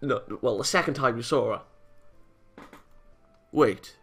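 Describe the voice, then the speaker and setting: A man with a bright, forward voice at a middle pitch reads a visual novel aloud as a let's play host. He narrates and reacts in an animated, exasperated manner. Music plays faintly behind him. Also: slow pace, slightly rough.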